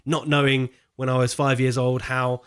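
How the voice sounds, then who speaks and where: A man's voice: mid-range voice, level tone, normal speed, smooth. A man co-hosting a film podcast, talking in an informal, slangy, conversational way. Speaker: podcast co-host. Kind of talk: casual film podcast chat.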